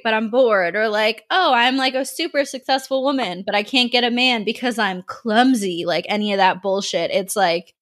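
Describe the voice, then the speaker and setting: A woman's voice: mid-range voice, a bit bright. A woman co-hosting a podcast, chatting casually and enthusiastically, swearing freely and laughing a lot. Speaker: podcast co-host. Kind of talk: casual chat.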